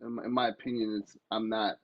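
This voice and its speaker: A man's voice: fairly low pitch, level tone, a little fast, no room sound. A man giving blunt, casually profane opinions as co-host in a podcast debate, through a slightly muffled microphone.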